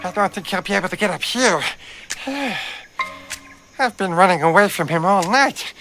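A woman voicing a sly, cheeky chameleon sidekick in a dubbed cartoon, with drawn-out, expressive delivery. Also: mid-range voice, soft background music. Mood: smug relish. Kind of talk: cartoon dub acting.

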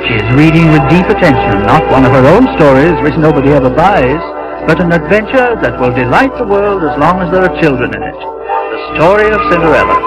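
A man's voice: on the high side, big pitch swings, brisk, poor audio. A man narrating a 1950s radio drama as a scripted storyteller. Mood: measured storytelling. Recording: old radio recording, loud music behind.

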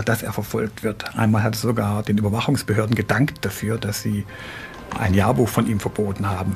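A man giving spontaneous explanations as an expert in a documentary interview, pausing as he thinks. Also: lowish voice, gravelly.

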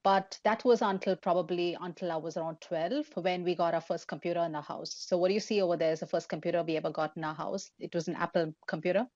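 A woman in her thirties with an Indian accent speaks to students over a laptop webcam, chatty and a little self-deprecating. Her voice sits on the low side, and the sound is dry and close with a slightly dulled, video-call quality. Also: fairly even intonation, fast, hardly any pauses, darkish tone, no room sound, dull audio. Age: 30s. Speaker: engineering professor. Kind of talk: online forum talk for students. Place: indoors at home, plain wall behind.

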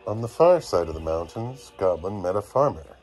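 A man's voice: deep voice, expressive, normal speed, darkish tone, soft background music. A man in his thirties, a father, reading a storybook aloud expressively to children. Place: small dim bedroom, lying down beside children. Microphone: phone at arm's length.

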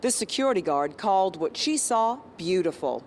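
A woman's voice: fairly low pitch, very animated, moderate pace, slight background noise. A woman TV news reporter giving a live report from an indoor convention hall, speaking into a handheld microphone with polished broadcast delivery. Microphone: handheld station mic.

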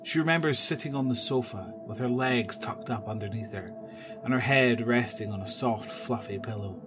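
A man's voice: mid-range voice, moderate pace, music in the background. A man reading scripted narration for an audio drama.

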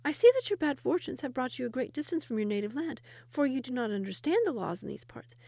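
A woman's voice: high voice, sing-song, fast talker, creaky, dark tone, no echo, pitched above the performer's own voice, heavily acted. A woman voicing a sly young shepherd boy who explains the local laws knowingly.

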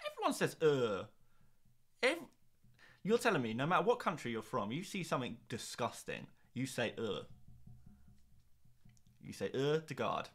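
Animated young man chatting. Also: mid-range voice. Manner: playful, rambling, lots of restarts and laughs. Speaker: livestream host. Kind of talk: casual chat reading live comments.

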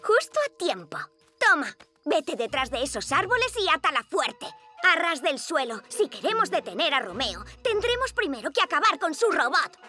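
A woman playing a confident, excitable little superhero girl in a Castilian Spanish cartoon, showy and bossy. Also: sits high, normal speed, faint music behind, exaggerated voice. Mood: confident planning. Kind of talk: children's cartoon dub.

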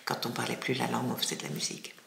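Woman interviewee, slight foreign-sounding accent in French, deep register, pauses to find words, echoey room. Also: animated, gravelly, fairly bright tone. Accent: slight, hard-to-place foreign accent in French. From France. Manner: halting, searching for words, pausing often. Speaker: classical music impresario being interviewed. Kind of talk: reflective interview answers. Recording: roomy, echoing indoor sound.

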